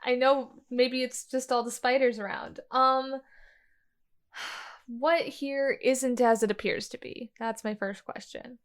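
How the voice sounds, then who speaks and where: Slow-talking, higher-voiced woman at play. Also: fairly even intonation. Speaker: player in an actual-play podcast. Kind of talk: casual, reactive tabletop chat.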